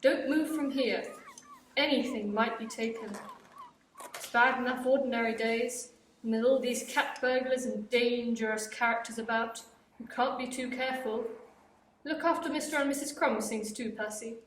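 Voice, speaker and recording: A woman's voice: on the high side, fairly even intonation, moderate pace, a little hiss or hum, lightly acted. Teen girl playing a bossy fussy mum, a bit far from the mic, echoey. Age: teens. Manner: nagging, bossy. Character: bossy fussing mother of a grown son.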